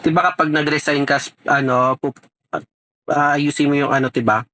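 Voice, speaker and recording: A man's voice: fairly high pitch, fairly even intonation, normal speed, pushed and bright, dry recording. A Filipino man vlogger chatting casually in a playful, rambling way full of vague filler words. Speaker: vlogger making a prank call. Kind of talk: casual chat, prank phone call.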